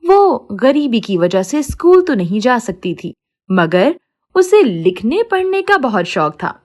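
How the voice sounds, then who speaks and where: A woman's voice: a little high, sing-song, slow pace, a little mellow. A woman narrating a scripted moral story for children as a storyteller.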